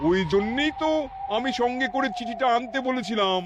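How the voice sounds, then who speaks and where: An actor playing a domineering, scheming Nawab in a cartoon, speaking in an imperious, gloating, menacing way. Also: male voice, very high-pitched, moderate pace, noisy background. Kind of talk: cartoon voice acting. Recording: music behind the voice.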